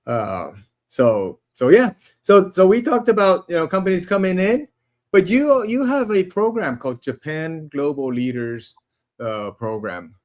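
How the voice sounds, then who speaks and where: A man's voice: fairly high pitch, lively intonation, measured pace, darkish tone. A man hosting a podcast interview, enthusiastic and repeating words as he starts his sentences. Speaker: podcast host. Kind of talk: casual interview talk.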